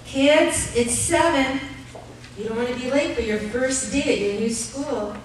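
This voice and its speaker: A brisk, doting mother played by a woman in a 1950s-style radio drama, her voice a little high. She is heard at some distance on a very echoey live stage with clear background noise. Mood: brisk, motherly. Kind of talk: live old-time radio play acting. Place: theater stage before live audience.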